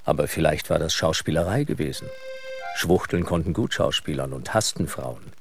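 A man's voice: extremely low pitch, lively intonation, moderate pace. A man reading scripted narration as the storyteller of a radio drama. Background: accordion music.

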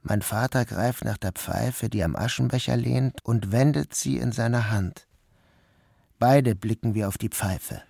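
A man's voice: mid-range voice, unhurried, vocal fry, warm tone, no room sound, clean studio sound. A man narrating a radio play in the first person, with an inward, dreamy delivery. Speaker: narrator and lead actor.

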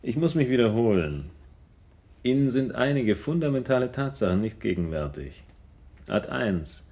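An actor playing a smooth, menacing blackmailer in a radio crime drama, speaking in a formal, pompous and courteously threatening way with a gravelly voice. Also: male voice, medium pitch, big pitch swings, moderate pace, darkish tone. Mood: coolly threatening. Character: smooth, menacing middle-aged male blackmailer in business dealings.

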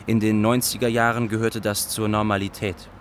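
A man acts a sober chronicler of wartime events in a radio play. He speaks factually in a lowish voice, with faint traffic ambience behind him. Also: little pitch movement, fairly quick, natural voice. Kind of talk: scripted radio drama narration.

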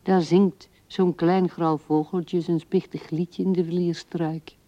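Old widow in a radio play, talking tenderly to her dead husband, mellow n slow, hushed. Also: fairly low pitch, little pitch movement, no room sound. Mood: musing, wistful. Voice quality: hushed, soft, often dropping to whisper. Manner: musing, halting, intimate. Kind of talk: radio drama monologue. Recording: dull old radio recording.

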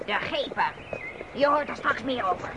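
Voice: medium pitch, lively intonation, normal speed, close to an everyday voice. An actor voicing a bossy, clever female wolf who leads a band in a Dutch radio play, repeating words in a commanding manner. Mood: businesslike. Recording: noisy radio play recording.

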